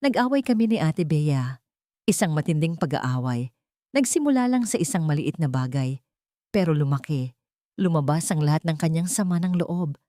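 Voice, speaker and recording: A woman's voice: deep voice, animated, moderate pace, mellow, no room sound, clean studio sound. A woman voicing a troubled wife and mother confiding in her diary, in a dramatic audio story reading. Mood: hurt.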